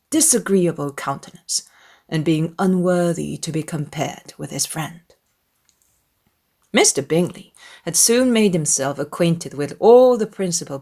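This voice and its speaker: A woman reading a dramatized literary audiobook in an expressive, measured storytelling manner. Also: fairly low pitch. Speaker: audiobook narrator.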